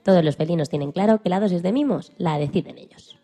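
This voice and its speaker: A woman's voice: on the low side, quick pace, warm tone. A woman presenting on radio in a storytelling style of narration.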